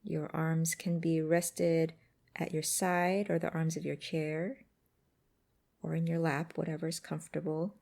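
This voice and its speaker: A woman guiding a mindfulness exercise in a gentle, unhurried, instructive way with pauses between phrases. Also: low-pitched, little pitch movement, vocal fry. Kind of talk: guided meditation narration.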